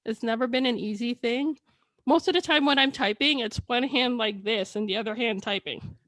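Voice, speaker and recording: A woman's voice: on the high side, normal speed, fairly bright tone. A woman author whose first language is a Chinese dialect and Tagalog, telling her story in a candid, rambling way over a video call. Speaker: author guest. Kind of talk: casual video chat.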